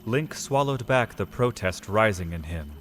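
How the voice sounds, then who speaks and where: A man narrating a scripted fantasy audio drama, solemn and measured, with deliberate pauses. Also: on the low side, animated, fairly bright tone, a little hiss or hum.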